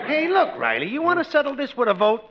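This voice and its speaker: Slangy American wisecracking neighbor, pushy, hugely swooping delivery, dull vintage radio sound. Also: male voice, extremely high pitch, wildly swooping pitch, quick pace, heavy background noise, exaggerated voice. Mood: scheming. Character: brash, scheming wisecracking working-class neighbor. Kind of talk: 1940s radio sitcom acting.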